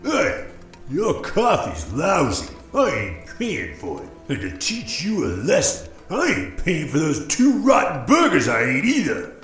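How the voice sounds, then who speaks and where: A man's voice: fairly high pitch, huge pitch swings, a little slow, fairly bright tone, echoey room, soft background music, exaggerated voice. A man playing a belligerent, loutish drunk in a diner in an audio drama, slurring and blustering.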